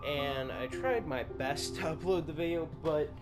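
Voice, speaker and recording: A young man with a shrill voice commentates his gameplay, rambling through fillers and half-finished phrases. He talks into a USB microphone. Loud game music plays behind him the whole time. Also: unhurried. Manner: rambling, full of fillers and restarts. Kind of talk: casual let's-play chat.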